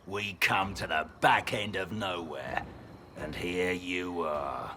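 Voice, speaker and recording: A gruff, bitter commander. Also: male voice, deep voice, slow, sharp tone, a little hiss or hum, full character voice. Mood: mocking. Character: gruff male commander of fallen soldiers.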